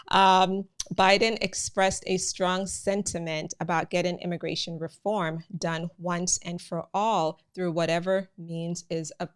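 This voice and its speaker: A Jamaican woman hosts a live immigration update in a relaxed, chatty way and keeps turning to her viewers. Her voice sits somewhat low and sounds smooth and clear. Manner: chatty, addresses viewers as guys, many fillers. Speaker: immigration news host. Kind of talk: live channel talk with viewers.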